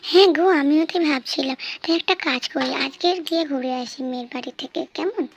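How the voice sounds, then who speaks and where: A woman voicing a sharp-tongued village family woman in a cartoon story. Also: sits high, fast, creaky. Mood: polite. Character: scheming, sharp-tongued village mother or daughter-in-law. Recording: noisy track with clicks.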